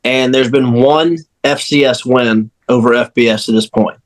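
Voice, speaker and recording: A man's voice: medium pitch, fairly even intonation, normal speed. A man on a sports podcast crew, talking casually and emphatically, repeating phrases to drive points home. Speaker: sports radio crew member and panelist.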